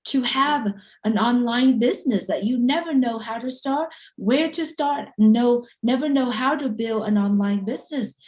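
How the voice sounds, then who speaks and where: Middle-aged woman, live-stream co-host, emphatic promo pitch. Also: darkish tone, rough recording. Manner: upbeat, emphatic sales talk.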